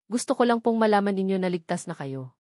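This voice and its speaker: A man's voice: high voice, expressive, quick pace, a little mellow, no room sound, studio quality. A woman voicing a loyal, discreet male security agent who speaks politely, formally and sincerely in an audio drama story. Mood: sincere, respectful.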